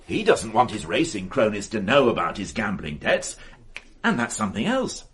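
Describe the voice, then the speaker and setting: Insistent detective, very animated voice. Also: male voice, lowish voice, normal speed, a little hiss or hum. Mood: musing, deductive. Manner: emphatic, insistent, reasoning aloud. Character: dogged male police detective, excitable, sure of his theory. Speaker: investigator. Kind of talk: radio mystery drama acting.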